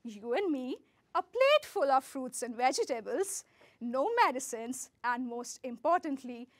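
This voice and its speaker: Woman, grad student, three-minute talk; high, very lively voice, close and dry. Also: a little slow, dry recording. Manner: earnest, rehearsed, speaks to listener directly. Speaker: graduate student presenter. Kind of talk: timed research talk.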